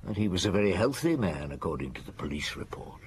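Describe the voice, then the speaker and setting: Thoughtful detective chief, lowish pitch, measured delivery, radio drama with faint noise. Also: male voice, very animated. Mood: probing. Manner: measured, hesitant, pondering. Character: weary, thoughtful male chief police inspector, measured and courteous. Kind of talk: old radio drama acting. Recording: noisy old radio drama broadcast.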